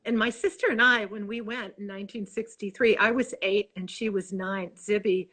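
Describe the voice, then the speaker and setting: A woman's voice: medium pitch, fairly even intonation, moderate pace, fairly bright tone. Chicago lady in her 60s, author on a video call, gushy and rambly, keeps restarting sentences. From Chicago, USA. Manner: effusive, rambling, self-interrupting. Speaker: author guest. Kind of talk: casual interview chat.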